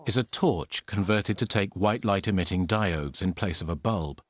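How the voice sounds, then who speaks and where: A man's voice: low voice, normal speed. A man reading scripted documentary narration.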